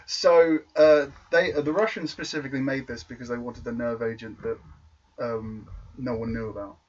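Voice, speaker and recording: A man's voice: medium pitch, steady tone, moderate pace, a little mellow. A man co-hosting a podcast, explaining things in a casual, opinionated and sweary way. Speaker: podcast co-host. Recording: distant mic, dull lo-fi, faint noise.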